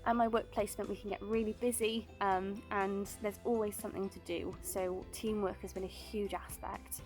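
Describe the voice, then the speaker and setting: A woman giving a clear, upbeat prepared testimonial as a bird of prey centre apprentice. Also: medium pitch, flat delivery, normal speed, a little mellow, faint music behind.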